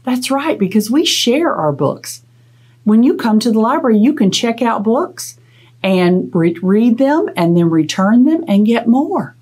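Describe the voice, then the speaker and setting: A woman's voice: a little high, big pitch swings, unhurried, darkish tone, breathy edge. A middle-aged woman hosting a children's storytime as a librarian, instructive and playfully dramatic. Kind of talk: children's storytime talk and read-aloud. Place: small indoor space, plain wall.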